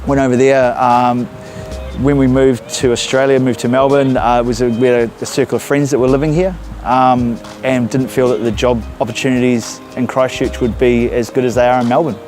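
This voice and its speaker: A man's voice: medium pitch, level tone, fairly quick, darkish tone, soft background music. Easygoing New Zealand man. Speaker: interviewee. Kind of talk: documentary interview. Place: outdoors in a city park.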